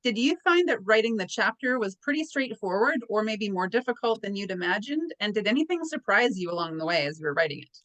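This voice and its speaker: A woman's voice: medium pitch, lively intonation, fast talker, poor audio. A woman hosting an interview as publisher, encouraging and appreciative toward her guest. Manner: warm, encouraging. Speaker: host, publisher. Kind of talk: interview host.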